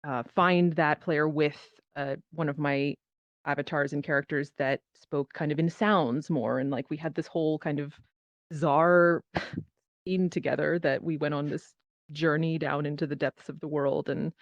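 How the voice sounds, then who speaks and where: Female performer in casual discussion, halting anecdotal delivery, dull close voice-chat audio. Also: deep voice, fairly even intonation, dry recording. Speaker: panelist, performer. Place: virtual reality social app session. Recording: muffled online voice chat.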